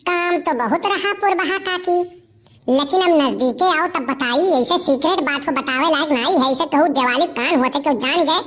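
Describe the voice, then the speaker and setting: A woman's voice: high voice, normal speed, a bit bright. A woman voicing a cheeky, scheming village boy with an Awadhi accent, cajoling and insistent in comic cartoon dialogue. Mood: secretive.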